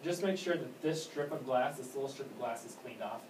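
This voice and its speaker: A man giving informal hands-on training as a technician, talking casually and haltingly and often trailing off. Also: mid-range voice. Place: indoor room at an elementary school. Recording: distant mic, echoey room, clear background noise.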